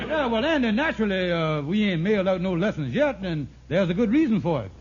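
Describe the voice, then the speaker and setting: An actor playing a fast-talking, scheming, slippery con artist in an old radio sitcom, in folksy ungrammatical speech full of stalling. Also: male voice, high-pitched, sing-song. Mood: smooth bluffing. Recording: noisy, muffled vintage radio recording.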